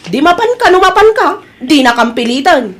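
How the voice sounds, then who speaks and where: Defensive, dismissive wife, played by a woman, high voice, Ilocano accent, domestic comedy drama. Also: very animated, fairly quick.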